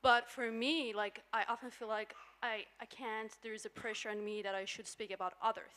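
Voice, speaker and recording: A woman's voice: on the high side, little pitch movement, a little fast, bright, forceful tone. A woman asking a question from the floor at a panel. She speaks frankly and with some frustration, circling her point with many asides. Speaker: audience member.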